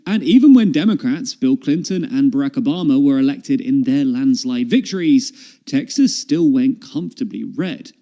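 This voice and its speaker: A man reading a scripted political explainer in a measured, explanatory way. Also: mid-range voice, lively intonation, dark tone. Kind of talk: scripted narration.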